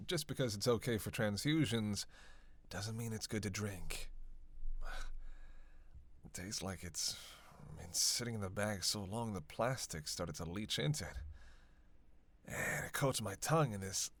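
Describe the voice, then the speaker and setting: A man's voice: on the low side, lively intonation, measured pace, long pauses, fairly bright tone, dry recording, full character voice. Man playing a sardonic vampire, swinging expressively. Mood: disgusted, griping. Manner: sarcastic, playful, drawling with sighs and groans. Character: witty, teasing, world-weary male vampire, sardonic and dangerous. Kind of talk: roleplay audio drama acting.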